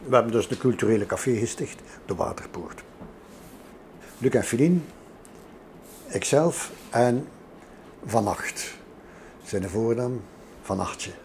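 An older man with a Flemish accent, telling informal stories from his past in an animated way as an interview guest. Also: mid-range voice, a little slow, long pauses, a little hiss or hum. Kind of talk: informal spoken reminiscence.

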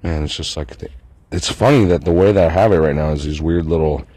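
A man's voice: extremely low pitch, fairly quick, dark tone, dry recording. A man vlogging in a meandering, profane, self-mocking monologue. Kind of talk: rambling casual monologue.

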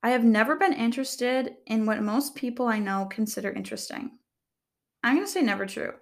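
Young woman, calm soft voice, rambling casual chat, lots of fillers, self-mocking jokes, reading questions out loud. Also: on the high side, fairly quick. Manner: chatty, rambling, filler-heavy, self-deprecating humor. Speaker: vlogger.

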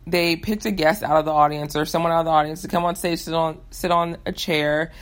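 Upbeat young American woman, slightly low voice. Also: fairly even intonation, fairly quick. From United States. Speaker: host of a Q&A show. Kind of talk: casual chat to listeners.

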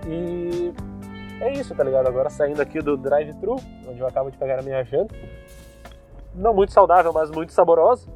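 Young gaúcho guy talking in his car, kinda high voice, slangy loose ramble, faint music behind. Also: lively intonation, brisk, darkish tone. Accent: Gaúcho Brazilian Portuguese. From southern Brazil. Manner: loose slangy talk, many fillers. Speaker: podcast host. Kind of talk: casual rambling monologue. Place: inside a car.

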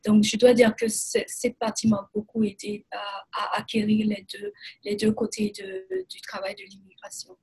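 A woman from Burkina Faso, answering interview questions in hesitant, measured phrasing. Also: medium pitch, vocal fry, darkish tone, slightly rough, poor audio. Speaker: guest, immigration lawyer.

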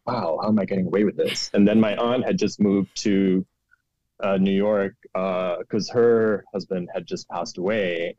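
A man in his forties, raised in Pakistan, talks in a very low voice, searching for words and doubling back, with a dry wit. The room is dead and dry. The audio is a little muffled and lo-fi. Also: darkish tone, no echo. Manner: thoughtful, many restarts and fillers, wry. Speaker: guest historian. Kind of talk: reflective conversational interview.

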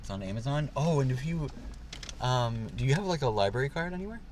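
A young man at a mid-range pitch talks loosely from the seat of a parked car, piling "like" and "um" into his sentences. A faint hiss of ambient noise sits under the voice. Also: expressive, fast talker, a little mellow. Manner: rambling, lots of "like" and "um". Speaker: podcast co-host. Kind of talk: casual chat.

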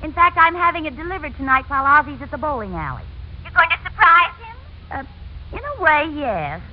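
A woman's voice: high-pitched, very animated, fairly quick. An actress playing a genteel, chatty woman in an old-time radio family sitcom. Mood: bright, confiding. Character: genteel, animated woman in domestic family comedy. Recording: muffled, faintly noisy old radio recording.

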